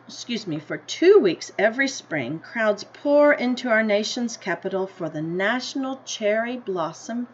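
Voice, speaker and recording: An American woman reads a picture book to children at an unhurried, slow pace. She speaks at a middle pitch and pauses to explain things simply or put questions to her listeners. The recording is dull and lo-fi, with clear background noise. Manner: deliberate storybook reading, asking listeners questions and explaining simply. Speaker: teacher reading a picture book aloud to children. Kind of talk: read-aloud with simple explanatory asides.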